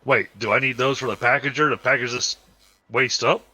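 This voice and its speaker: A man's voice: medium pitch, steady tone, brisk, bright, forceful tone, no echo, low-quality recording. A man with a Southern American accent, a streamer casually chatting while playing, explaining at length with plenty of fillers. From Southern United States. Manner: easygoing, rambling explanations with many fillers. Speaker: streamer hosting a multiplayer game. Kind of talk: casual game chat.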